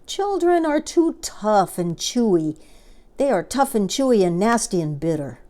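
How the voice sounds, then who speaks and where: A woman's voice: mid-range voice, very animated, measured pace, mellow, voice pushed up. A woman voicing a blunt, scolding smaller crocodile in a children's storybook, disdainful and emphatic. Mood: disgusted.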